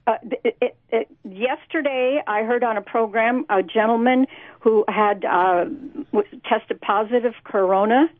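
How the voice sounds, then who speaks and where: A woman's voice: fairly high pitch, measured pace, no room sound. A woman phoning in to a talk show, asking questions in a casual, rambling way. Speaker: caller. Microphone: telephone. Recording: narrow, dry phone line.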